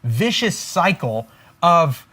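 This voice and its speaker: A man's voice: a little high, very animated, slow, pushed and bright, breathy edge. A man giving a motivational business training at a whiteboard, emphatic and talking straight to his viewers, often checking in with "right?" and "okay?". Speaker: business coach. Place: room with a whiteboard.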